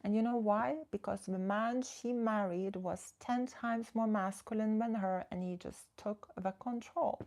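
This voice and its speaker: Relationship coach, woman from the Netherlands: low gravelly edge, mellow tone, chatty and direct livestream host. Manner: chatty and direct, reads viewer comments aloud, coaching advice. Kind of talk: casual livestream chat with viewers.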